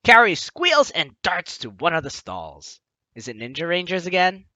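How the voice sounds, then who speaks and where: Bright, animated, excitable gamer. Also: medium pitch, moderate pace, no echo. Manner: excitable, playful, stammering self-interruptions. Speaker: gaming video host. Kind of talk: let's play commentary, reading game text aloud.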